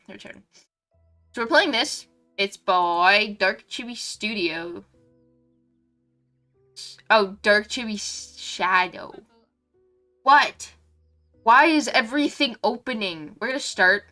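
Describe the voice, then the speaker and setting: A woman's voice: mid-range voice, expressive, takes it slowly, pauses often, bright, forceful tone, soft background music. A young woman with a congested nose chatting casually on a game stream, drifting into muttered asides to herself. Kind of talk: casual live stream chat while playing a game.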